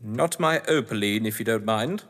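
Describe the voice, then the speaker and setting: An actor playing an older, upper-class British crime novelist, scheming and sardonic, in florid, pompous stage-drama style. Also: male voice, on the low side, lively intonation, normal speed, fairly bright tone. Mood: suave, scheming. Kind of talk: stage-play audio drama acting. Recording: echoey hall reverb.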